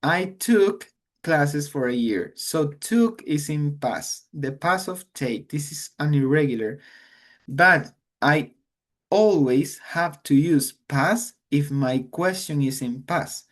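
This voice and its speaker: Guy teaching english grammar, slow, switches to to explain. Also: fairly high pitch, lively intonation. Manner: slow, explains in English then repeats in Spanish. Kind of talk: online grammar lesson.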